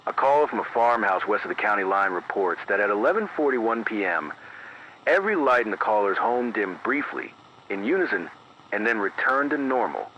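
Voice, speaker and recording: A man playing a grave, careful late-night emergency announcer, reading a formal scripted bulletin with measured, precise delivery through an AM radio filter. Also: on the low side, sing-song, sharp tone. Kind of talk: scripted analog horror emergency news bulletin. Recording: dull band-limited AM radio, faint noise.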